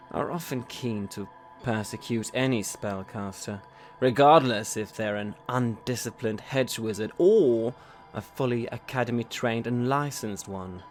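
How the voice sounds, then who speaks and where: A man narrating his character's backstory in a measured storytelling way. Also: fairly low pitch. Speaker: podcast host and player. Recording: faint music behind.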